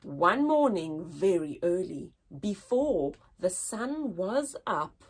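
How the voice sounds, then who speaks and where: A woman reading aloud to young children as a story-time teacher, expressive and sing-song with exaggerated wonder and a breathy voice. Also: lowish voice, slow, a little hiss or hum.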